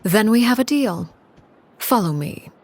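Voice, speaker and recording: An actress voicing a cold, composed woman who leads a household of orphans, speaking in a measured, calm and quietly commanding way with a hushed, breathy voice. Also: mid-range voice, a little hiss or hum. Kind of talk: story-driven game voice acting.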